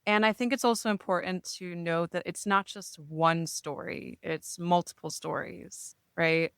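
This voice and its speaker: A woman's voice: on the low side, steady tone, unhurried, clean tone, no room sound, clean studio sound. A woman, a therapist speaking reflectively in a casual podcast chat, often checking in with "right?". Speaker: therapist, guest.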